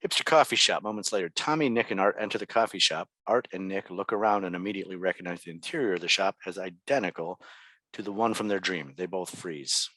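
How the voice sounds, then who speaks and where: A man's voice: deep voice, fast talker, bright, forceful tone, low-quality recording. A man reading stage directions as scripted narration at a table read.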